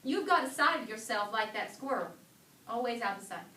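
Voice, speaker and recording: A woman's voice: fairly high pitch, lively intonation, brisk, bright, forceful tone. A woman giving a dramatic staged sermon reading, preaching in rhythmic, declarative phrases. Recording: echoey distant hall, faint background noise.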